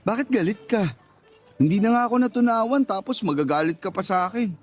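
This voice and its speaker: Shy, oblivious young man, radio drama customer, high-pitched and plain-spoken, faint music bed. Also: lively intonation, a little fast. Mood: innocent, puzzled. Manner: innocent, puzzled, plain-spoken. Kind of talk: Tagalog radio drama acting.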